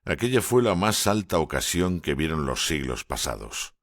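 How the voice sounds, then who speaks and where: A calm, commanding middle-aged naval lieutenant, read by a male audiobook narrator speaks in a very deep, low voice. His delivery is measured and grave, and the sound is dry and close. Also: dry recording, heavily acted. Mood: reminiscing. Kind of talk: audiobook character dialogue.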